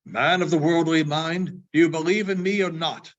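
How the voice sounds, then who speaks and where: A man voicing the mournful, doomed ghost of a dead businessman in a slow, solemn, declaiming storybook reading. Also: a little high, steady tone, close to an everyday voice. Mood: grave, doomed. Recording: dull, muffled sound.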